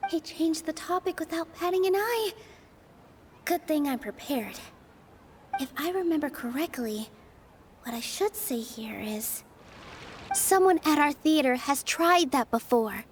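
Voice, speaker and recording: A gentle young dancer from a game cutscene, with a very high, girlish voice. She speaks earnestly and with care, over faint noise. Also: animated, measured pace, pauses often. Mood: hushed, self-steadying.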